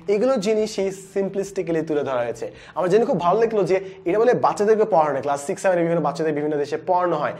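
Man in his twenties, Bangladeshi accent, high voice, animated explainer, English words mixed in, studio lapel mic. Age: 20s. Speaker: book reviewer, educational video host. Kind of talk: presenting a book review to camera. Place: indoor studio with plain backdrop.

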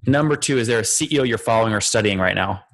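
A male podcast host fires off interview questions at high speed. He is brisk, direct and businesslike, with a slightly low voice. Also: fairly bright tone.

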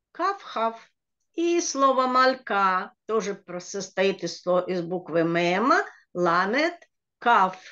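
A woman's voice: mid-range voice, a bit bright. A middle-aged woman teaching a children's reading lesson over a video call, explaining word by word with patience. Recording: dull lo-fi video call audio.

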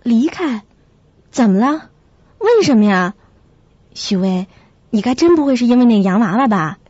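A woman playing a sensible, caring young flatmate who narrates and acts in a radio drama, with a husky, breathy voice. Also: on the high side, moderate pace, no echo, muffled recording. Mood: reassuring.

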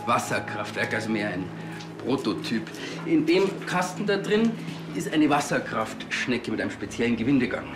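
An actor with a Bavarian accent playing a proud, obsessive rural tinkerer, boastful and earnest. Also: male voice, mid-range voice, very animated, normal speed, music in the background, lightly acted. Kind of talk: TV crime comedy acting.

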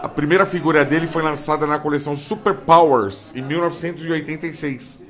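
A man's voice: on the high side, fairly even intonation, fast, a bit bright. A man from Brazil at a collectors' table chat, explaining cartoon and toy history in detail like a trivia expert. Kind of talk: casual panel chat. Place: indoor room, seated at a table of toys. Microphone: handheld mic passed around.

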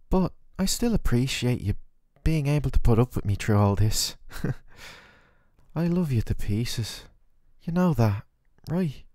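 A man's voice: medium pitch, dark tone, no echo, studio quality, natural voice. A man playing a worried, tender boyfriend in an audio roleplay, speaking in a soothing, earnest, affectionate way. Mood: apologetic, loving. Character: worried, tender, doting boyfriend caring for his sick partner. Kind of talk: scripted boyfriend roleplay ASMR.

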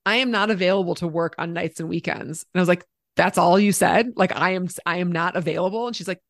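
Gravelly-voiced animated younger woman. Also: lowish voice, fast talker, no room sound, clean studio sound. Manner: animated, laughs often, quotes herself. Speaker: podcast guest. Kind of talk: casual conversation.

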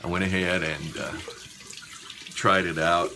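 A man with a notably deep voice chats in an unhurried, halting way, as if half busy with something. He speaks casually to his viewers from a small bathroom. There is light background noise of running water. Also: little pitch movement. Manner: unhurried, halting, talking while busy with a task. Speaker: shaving vlogger. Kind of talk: casual chat to viewers while shaving.

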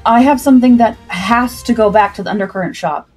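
A woman's voice: a little high, fairly quick, a little mellow, natural voice. A woman voicing a wary, loyal sixteen-year-old cabin boy in a pirate story, plain-spoken and guarded. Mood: nervous. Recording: music behind the voice.

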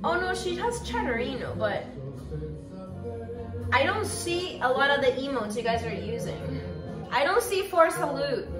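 A young Colombian woman talks in a high, bouncy voice with a Colombian accent, chatty and playful as she answers her stream chat. She is picked up from across a roomy home kitchen, away from the mic, with music clearly playing underneath. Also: very animated, unhurried, pauses often. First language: Spanish. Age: young adult. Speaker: livestreamer. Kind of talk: casual chat while reading chat on stream. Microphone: far camera. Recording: roomy and distant, music behind.

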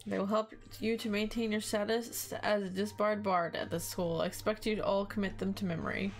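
A woman voicing a formal, stern male schoolmaster with a put-on German accent, speaking in a measured, courteous but firm way over music. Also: mid-range voice, fairly even intonation, natural voice. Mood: strict.

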